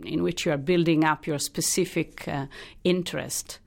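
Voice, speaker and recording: Low-voiced Italian woman doctor. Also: steady tone, creaky. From Italy. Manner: thoughtful, halting, many restarts and fillers. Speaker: hematologist guest. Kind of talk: interview, explaining her career.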